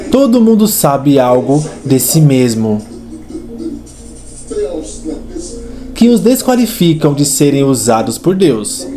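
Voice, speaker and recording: The high, urgent male preacher you would hear in a dubbed televised sermon, hammering phrases again and again over swelling music, as a man acts it out. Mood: pleading, dramatic. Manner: emphatic, rhetorical repetition. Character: fervent male preacher exhorting a congregation. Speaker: voice actor dubbing a preacher.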